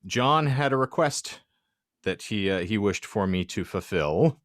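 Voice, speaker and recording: Low-voiced, theatrical male podcast host. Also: lively intonation, a little slow, no room sound, studio quality. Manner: theatrical, florid, wordy phrasing. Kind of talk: comic podcast banter.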